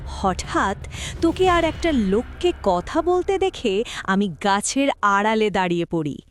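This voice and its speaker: A woman playing the vengeful ghost of a wronged young village woman in an audio horror story, accusing and dramatic. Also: a little high. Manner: accusing, dramatic, slow and drawn out.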